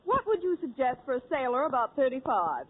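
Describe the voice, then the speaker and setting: A woman's voice: sits high, big pitch swings, fairly quick, breathy edge. A woman guest star giving wry comebacks in a 1940s radio comedy. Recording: lo-fi 1940s radio broadcast with hiss.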